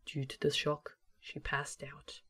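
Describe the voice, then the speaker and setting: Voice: medium pitch, completely flat delivery, slow, bright, forceful tone. A narrator reading a scripted story for a fan audio drama.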